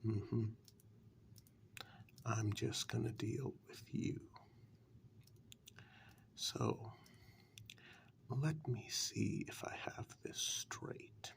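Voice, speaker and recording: A man voicing an ancient, powerful warlock in a soft-spoken ASMR fantasy role-play, gravelly and half-whispered, calm, menacing and condescending, with long deliberate pauses. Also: on the low side, heavily acted. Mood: calm, probing.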